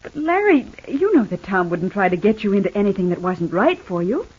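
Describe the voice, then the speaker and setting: An actress playing a devoted, gentle wife recovering in hospital in a 1950s radio soap, speaking tenderly and earnestly. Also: female voice, medium pitch, sing-song, fairly quick, slightly rough. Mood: earnest, pleading. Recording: dull, band-limited old radio recording.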